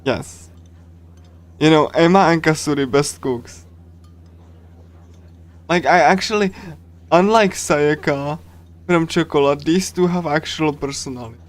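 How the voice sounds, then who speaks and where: A man streaming a game, chatting casually and reading along in a rambling, halting way with repeated phrases. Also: fairly high pitch. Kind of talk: casual livestream chat while reading and translating a game.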